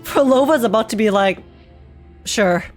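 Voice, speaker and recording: A woman's voice: a little high, measured pace. Young woman streamer, casual and rambling. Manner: rambling, animated quoting, slangy. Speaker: game streamer reacting to a story. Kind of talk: casual reaction commentary. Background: faint game music.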